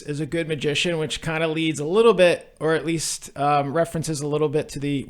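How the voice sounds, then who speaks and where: A man co-hosting a podcast in casual chat, with halting, meandering phrasing. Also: fairly high pitch. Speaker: podcast co-host.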